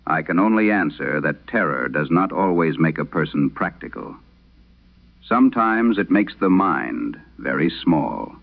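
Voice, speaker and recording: A man performing as a late-night radio host, solemnly reading an eerie letter in measured, deliberate phrases through an old radio filter, in a vintage horror drama style. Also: a bass voice, lively intonation, heavily acted. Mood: ominous, tense. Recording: tinny band-limited old radio sound.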